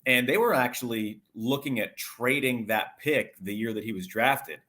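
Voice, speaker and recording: A man's voice: mid-range voice, lively intonation, moderate pace, sharp tone. A man working as a sports broadcaster, giving detailed conversational analysis as an interview guest in stop-and-start phrases.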